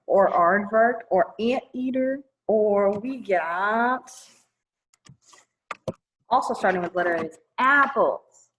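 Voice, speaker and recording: A woman leading a children's storytime, reading a picture book aloud with chatty asides in an expressive, singsong manner aimed at young kids. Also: mid-range voice, slow pace, long pauses, dull audio, a little hiss or hum.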